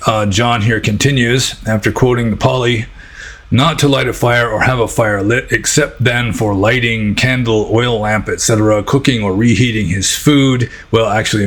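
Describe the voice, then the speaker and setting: American guy doing a casual buddhist q&a, lowish husky voice, kinda rambly. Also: steady tone, moderate pace, fairly bright tone. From United States. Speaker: Buddhist teacher answering viewers' questions. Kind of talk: informal question-and-answer talk.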